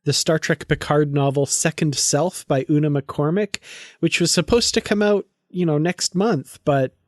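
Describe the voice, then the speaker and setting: Male co-host for casual podcast chat, moderately high pitch, informal and keen, very dry sound. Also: normal speed, no room sound.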